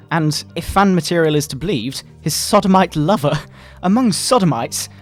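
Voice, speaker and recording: Man putting on a pompous, high-pitched fire-and-brimstone moral crusader. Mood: scornful, righteous. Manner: pompous, melodramatic preaching with drawn-out words. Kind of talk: mock-dramatic satirical reading.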